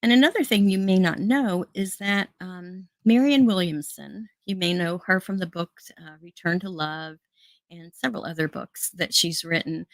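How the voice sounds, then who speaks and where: A woman's voice: lowish voice, moderate pace, no room sound. An American woman hosting an online show, talking casually and earnestly to her viewers, with frequent fillers and restarts. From United States. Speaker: host.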